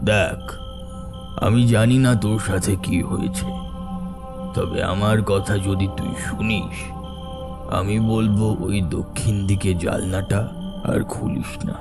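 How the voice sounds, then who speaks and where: A man acts a concerned older village uncle in a spooky cartoon. He speaks very slowly in a lowish voice, grave and cautioning, with music clearly behind him. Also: steady tone. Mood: warning. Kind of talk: cartoon horror story acting.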